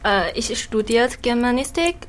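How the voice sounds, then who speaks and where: A woman's voice: on the high side, expressive, unhurried. A young woman from China with a Chinese accent, an exchange student answering hesitantly in learner German. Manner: hesitant, self-correcting.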